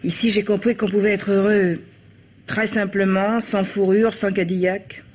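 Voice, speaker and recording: Actress as world-weary café owner, muffled old radio. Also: female voice, mid-range voice, level tone, moderate pace, darkish tone, close to an everyday voice. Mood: wistful, confiding. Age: 40s. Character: world-weary forty-year-old café owner, former gangster's companion. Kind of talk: 1950s French radio drama acting. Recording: muffled old radio recording, background hiss.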